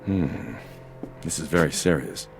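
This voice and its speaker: An actor playing a gruff, commanding army colonel, curt and sarcastic, in a radio drama. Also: male voice, rumbling low, expressive, unhurried, soft background music, exaggerated voice, poor audio. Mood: mock-serious. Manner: curt, dry sarcasm, clipped orders.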